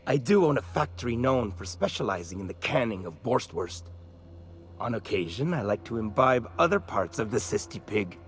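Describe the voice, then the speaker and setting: An actor playing a pompous, menacing meat tycoon and killer in a video game, florid and mock-polite, dropping into breathy whispers. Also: male voice, mid-range voice, very animated, normal speed, lightly acted. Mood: sly, menacing. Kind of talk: video game character acting.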